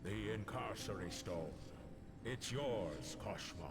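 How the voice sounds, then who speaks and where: A man playing a grave, embittered older monster-hunting master in a fantasy game, deliberate and dramatic, with music behind. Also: lowish voice, heavy background noise. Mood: cold, bitter. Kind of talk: fantasy game character acting.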